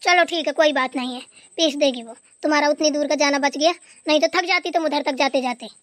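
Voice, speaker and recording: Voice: high voice, a little fast, fairly bright tone. A performer voicing an aching old village mother-in-law in a Hindi cartoon, bossy but affectionate. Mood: agreeable. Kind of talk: Hindi family cartoon dialogue.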